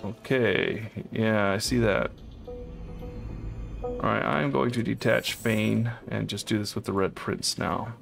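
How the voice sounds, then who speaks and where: Gravelly man musing over a game. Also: fairly low pitch, fairly even intonation, slow pace, a bit bright, faint music behind. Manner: thinking aloud, hesitant, puzzling things out. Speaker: co-op game let's player. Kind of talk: casual gameplay commentary.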